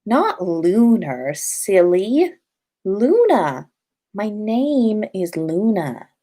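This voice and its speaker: A woman voicing a dreamy, odd teenage witch girl in a storybook reading, unhurried and unbothered. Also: medium pitch, very animated, mellow, exaggerated voice. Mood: dreamy, serene. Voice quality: dreamy, airy.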